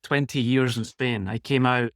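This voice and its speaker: A man's voice: medium pitch, fairly even intonation, moderate pace, a bit bright, no echo, clean studio sound. A man from Scotland with a Scottish accent, a guest expert who answers interview questions thoughtfully and casually, with small restarts.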